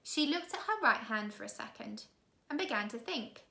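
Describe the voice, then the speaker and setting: A woman reads a children's story in a bright, fairly high voice. Her narration is expressive and puts dramatic weight on words. The room adds noticeable echo. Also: moderate pace, gravelly, soft background music. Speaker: teacher reading a children's novel aloud. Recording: roomy, echoey room sound.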